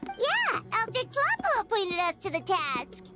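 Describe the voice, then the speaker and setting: A woman's voice: very high voice, wildly swooping pitch, measured pace, exaggerated voice. A woman playing a tiny, childlike fairy companion in a video game, chirpy and inquisitive. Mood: cheerful, relieved. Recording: loud background music.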